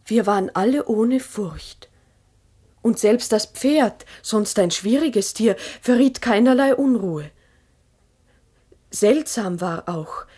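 An actress playing a farmer's wife who earnestly recounts strange happenings in a measured, literary 1960s German radio play monologue. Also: female voice, mid-range voice, darkish tone, husky. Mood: wondering. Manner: deliberate, literary, earnest storytelling.

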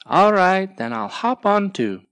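Small helpful animal, eager, emphatic, lifted pitch, storybook character, acted by a narrator. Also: big pitch swings, measured pace, creaky, fairly bright tone, no room sound, heavily acted. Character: eager, helpful little animal friends of a tortoise.

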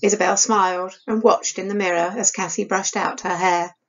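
An older woman, reading a novel aloud as expressive storybook narration. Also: mid-range voice, moderate pace, lo-fi sound. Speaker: author reading her novel. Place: small room indoors.

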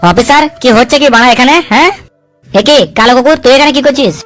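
Casting: female actor as bullying male politician for a comedy cartoon. High-pitched, rapid-fire, coarse, short-tempered. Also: a bit bright, faint music behind. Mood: suspicious. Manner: coarse, swearing, hectoring with repeated tag questions. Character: foul-mouthed, hot-tempered bullying male politician, threatening and domineering. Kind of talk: comic cartoon dub dialogue.

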